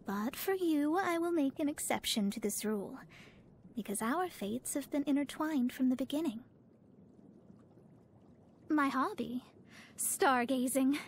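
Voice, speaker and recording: A woman's voice: high voice, unhurried, long pauses, a little hiss or hum. An actress voicing a proud, haughty young woman astrologer in a video game, speaking in a formal, pompous, theatrical way. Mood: earnest, proud. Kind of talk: scripted video game character voice lines.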